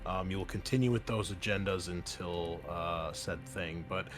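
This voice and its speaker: A man with a fairly low voice hosts a tabletop game session. He narrates casually, pausing and restarting as he explains things. Faint music sits behind him. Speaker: game master and podcast host.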